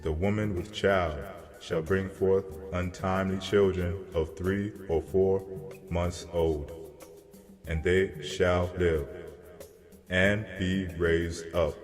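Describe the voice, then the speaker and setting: A man playing a solemn angel messenger who delivers grave prophecy in a measured, declamatory way, as in a dramatized scripture reading. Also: sits low. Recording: long cavernous reverb, music behind. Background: music.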